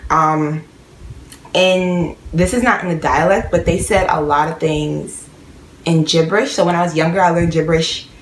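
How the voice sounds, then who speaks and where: Young woman vlogger with a New York accent touched by Guyanese, low-ish voice, rambling chat, recorded in an echoey room. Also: fairly even intonation, normal speed, slight background noise. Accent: New York with a slight Guyanese tinge. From New York, of Guyanese family. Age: young adult. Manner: chatty, rambling, lots of "like" and "um". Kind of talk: casual chat to camera. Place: small bedroom at home.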